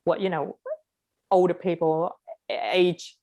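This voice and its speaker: A woman's voice: fairly low pitch, takes it slowly. Frank Vietnamese-accented young woman. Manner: frank, animated, opinionated. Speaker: interviewee. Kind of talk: casual conversation.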